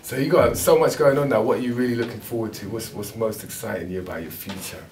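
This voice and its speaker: A man's voice: on the low side, fast talker, a little mellow, recorded from a distance, a little hiss or hum. A man conducting a casual interview in an informal, encouraging way. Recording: roomy echo, off-mic.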